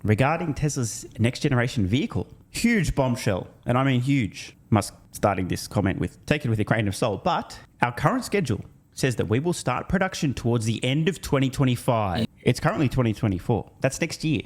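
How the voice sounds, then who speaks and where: A man's voice: mid-range voice, sing-song, fairly quick. A man presenting stock news as a video creator, in scripted narration with casual asides and an emphatic, bullish delivery.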